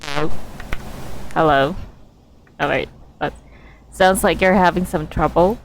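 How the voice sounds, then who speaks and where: Low-pitched, unhurried woman streaming a game. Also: vocal fry, background noise. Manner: musing, talking to self. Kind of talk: casual game commentary, reading dialogue aloud.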